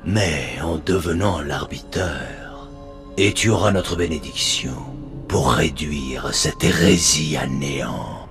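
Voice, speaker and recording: An alien religious leader in a video game. He is solemn and authoritative, with a very deep, unhurried delivery over faint music. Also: vocal fry, exaggerated voice. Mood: solemn, persuasive. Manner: stately, measured, authoritative. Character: solemn, calculating male alien high prophet. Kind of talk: dramatic video game cutscene acting.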